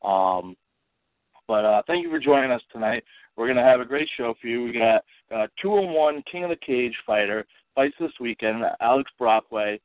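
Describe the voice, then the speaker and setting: A man with a stuffy, congested voice hosting a casual call-in podcast, talking in halting bursts full of fillers and restarts. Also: mid-range voice, lively intonation, dry recording. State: stuffy from allergies and a cold. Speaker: podcast host. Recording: tinny dry phone line.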